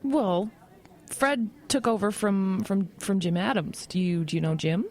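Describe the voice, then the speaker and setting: An actress as a friendly, overworked small-town office woman in a radio play. Her voice is medium in pitch. She speaks conversationally, hedging and hesitating as if reluctant to say too much. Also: a little slow, darkish tone, lightly acted. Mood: evasive. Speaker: actress in an audio drama. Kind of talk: audio drama acting.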